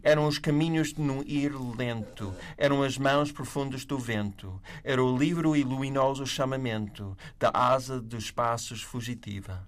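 A man with a non-native accent reads poetry in a slow, measured way before sliding into relaxed conversation. His voice sits at an ordinary mid pitch. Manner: slow, measured reading of verse. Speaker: writer and panel guest. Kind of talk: poetry reading, then casual conversation.

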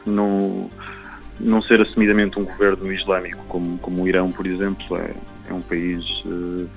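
A young man speaks in a deep voice with a European Portuguese accent. He chats reflectively, circling back over words as he looks for the right phrase. The sound is slightly dull, with faint music underneath. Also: completely flat delivery, vocal fry. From Algarve, Portugal. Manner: halting, repeats words while searching for phrasing. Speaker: traveller and guide interviewed. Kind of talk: casual reflective interview talk.

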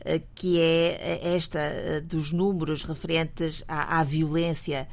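A woman with a European Portuguese accent speaks as an academic guest in a radio studio. She is measured and hesitant, and she pauses often. Her voice sits a little low. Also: little pitch movement. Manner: hesitant, many "eh" fillers, measured. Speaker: communication professor, guest. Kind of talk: radio discussion.

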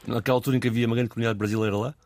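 A man's voice: mid-range voice, level tone, racing, darkish tone, no room sound. A male radio host running a casual interview, asking loose questions with fillers.

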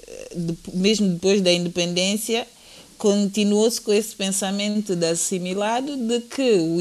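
A woman giving her view as a guest in a panel discussion, reflective and pausing to find her words. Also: medium pitch.

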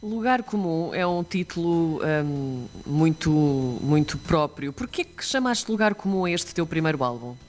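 A man's voice: on the high side, expressive, a little slow, few pauses, a little hiss or hum. A man, co-hosting a radio interview and asking questions with some hesitation and fillers. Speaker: radio co-host.

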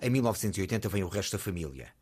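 A male narrator reads a television profile in a steady, scripted voice. His tone is low and slightly husky. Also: rapid-fire.

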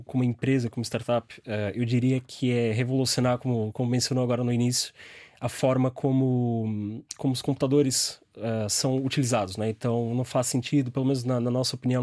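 A man's voice: mid-range voice, mellow, no echo, clean studio sound. A young man with a Brazilian Portuguese accent, a startup founder answering interview questions with many fillers and restarts.

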